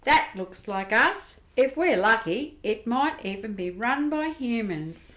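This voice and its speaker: An upbeat young woman traveller between planets, played by a woman in an amateur radio drama. Her voice sits high and swings lively through questions. The room echo is noticeable. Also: takes it slowly. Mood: curious. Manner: curious, upbeat. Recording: roomy echo.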